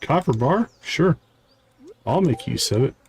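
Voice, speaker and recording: Lively-pitched man streaming a game. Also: measured pace, gravelly, slight background noise. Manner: thinks aloud, reads game dialogue aloud. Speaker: live streamer and game host. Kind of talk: casual live gameplay commentary, chatting to viewers.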